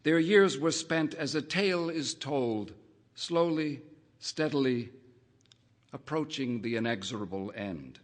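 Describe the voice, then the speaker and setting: A man reading a first-person literary short story aloud, in a measured, wry narrating manner. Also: medium pitch, animated. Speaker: audiobook reader.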